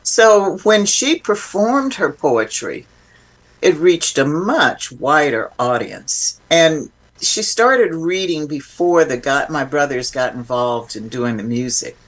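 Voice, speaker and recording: A woman's voice: sits low, animated, takes it slowly. A woman speaking as an interview guest, explaining informally and with emphasis in a reflective, conversational way.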